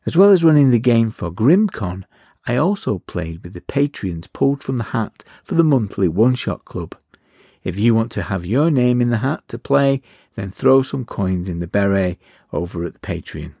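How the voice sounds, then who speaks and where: A middle-aged man reading a wry, relaxed podcast outro as host. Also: lowish voice, moderate pace, dry recording, clean studio sound.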